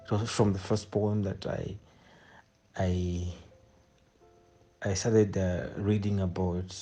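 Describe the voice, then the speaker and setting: A young Zambian man with a fairly low voice and very unhurried pace. He answers interview questions conversationally, pausing and repeating himself as he thinks. Faint music is present. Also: little pitch movement, mellow. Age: 20s. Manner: hesitant, repeats phrases as he thinks. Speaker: guest poet.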